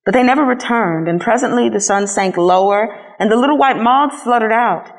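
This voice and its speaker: A woman reading a children's story aloud as a scripted narration, in an expressive storytelling manner. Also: fairly high pitch, moderate pace, low-quality recording. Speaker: storybook reader.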